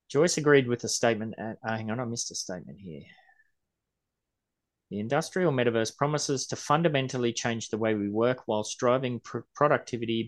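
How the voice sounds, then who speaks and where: A man in his forties co-hosting a podcast, casually reading news articles aloud and riffing on them. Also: on the low side. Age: 40s. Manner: reads quotes aloud then riffs on them. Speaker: podcast co-host.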